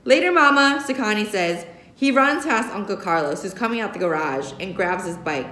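A woman's voice: on the low side, animated, normal speed, a bit bright. An American woman reads a novel aloud expressively, recorded in a roomy classroom. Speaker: reader, teacher. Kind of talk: expressive read-aloud of a young adult novel. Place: indoor classroom. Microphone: camera a short distance away.

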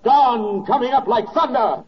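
An actor playing a solemn, stirring American narrator in a 1940s radio drama, declamatory and emphatic. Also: male voice, extremely high pitch, a little slow. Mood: defiant. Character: solemn, stirring male narrator addressing soldiers and sailors. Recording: dull old 1940s radio recording.

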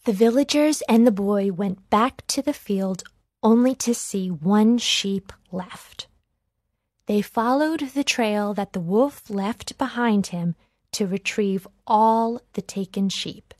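A woman narrating a children's read-along storybook with careful, deliberate phrasing. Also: medium pitch, a little mellow, dry recording, studio quality.

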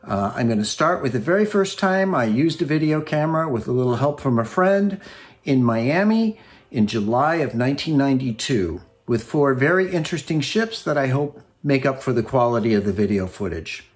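A man in his sixties, friendly and relaxed, introducing his own video channel from a study at home. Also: medium pitch, moderate pace. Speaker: channel host. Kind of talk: casual on-camera introduction. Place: home study with bookshelves, indoors.

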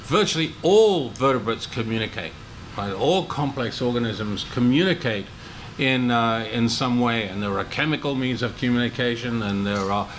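A man's voice: medium pitch, normal speed, fairly bright tone, a little hiss or hum, rough recording. An older man speaking on an academic panel, hesitant, with many restarts and fillers. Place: large meeting room with seated audience. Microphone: table microphone.

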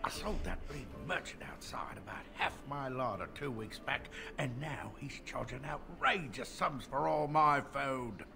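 Blunt middle-aged male villager, gruff, animated mid-pitched voice, game dialogue. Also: unhurried, few pauses, over music. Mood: gruff, grumbling.

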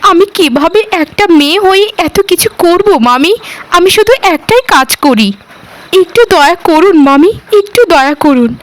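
A woman's voice: very high voice, lively intonation, moderate pace, no room sound. A woman voicing a poor, humble young orphan housemaid in a cartoon, speaking in a pleading, deferential and emotional way. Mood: pleading, distressed. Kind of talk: cartoon voice acting.

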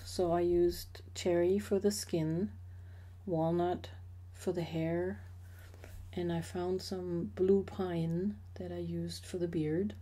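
Middle-aged woman, slideshow voice-over, measured explanatory delivery, flat low voice. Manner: measured, explanatory, with occasional fillers. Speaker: associate professor sharing a hobby.

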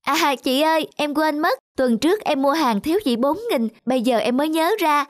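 A woman playing a polite, expressive young student in an animated moral story. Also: high-pitched, moderate pace, no room sound, clean studio sound, close to an everyday voice. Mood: polite, sincere. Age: young adult. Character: young woman student, anxious, conscientious, light-hearted.

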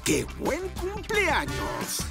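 Excitable cartoon motorbike, fretful and gasping, creaky voice, huge pitch swings, music behind. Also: extremely slow, heavily acted. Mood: delighted. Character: excitable, panicky motorbike character Maxwell. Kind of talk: animated cartoon dub.

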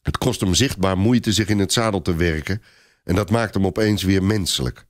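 A man's voice: deep voice, normal speed. A man narrating a scripted adventure story in a theatrical, suspenseful manner.

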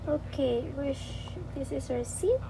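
A woman's voice: sits high, unhurried, gravelly, mellow. A woman playing pretend with her child in a sing-song, coaxing, doting way. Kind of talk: improvised roleplay over a toy app. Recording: noisy homemade tablet recording.

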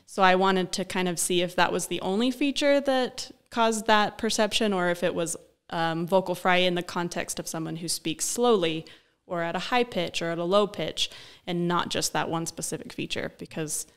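A young woman, a speech-language pathologist, explaining her work in a relaxed interview, often pausing with "um," recorded on a studio desk mic. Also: fairly low pitch, clear, resonant tone. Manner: explanatory, thoughtful, frequent um fillers. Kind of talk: conversational interview. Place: small studio office. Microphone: desk-mounted broadcast mic.

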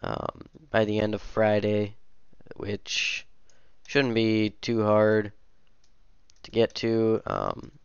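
A man's voice: lowish voice, extremely slow, creaky, long pauses, clear, resonant tone, no echo, muffled recording. A young man with a cold and a sniffly voice, casually rambling through a commentary as he edits a game map, with lots of fillers and self-corrections. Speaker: modding streamer. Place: home room at a computer. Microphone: headset.

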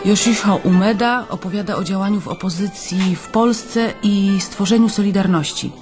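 An actress playing an earnest, polite young Polish émigré journalist in a naturalistic radio drama. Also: female voice, mid-range voice, normal speed, darkish tone, soft background music, natural voice. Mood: formal.

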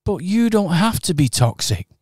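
A man acting in a local radio pantomime, earnest and expressive in his storytelling. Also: high voice, moderate pace, husky, no room sound, studio quality. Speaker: pantomime narrator and actor.

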